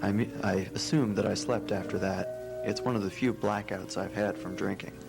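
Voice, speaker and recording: Give me a low-voiced man with vocal fry reading personal recollections over loud music. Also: fairly even intonation, normal speed. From Canada. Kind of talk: reading a personal memoir aloud.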